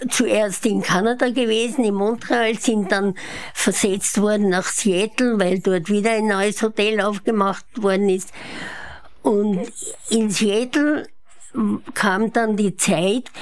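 An elderly woman in her eighties speaks with a Viennese Austrian accent. She recounts her own life as an interview guest, taking her time with a slow, measured pace. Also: mid-range voice. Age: 80s. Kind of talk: unhurried autobiographical storytelling. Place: her apartment living room.

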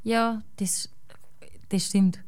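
Mellow-voiced woman with a Salzburg accent. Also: medium pitch, steady tone, drawn-out words, smooth, dry recording. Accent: Salzburg Austrian German. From Austria. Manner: chatty, thinks aloud with fillers and tag questions. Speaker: guest musician. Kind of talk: casual conversation.

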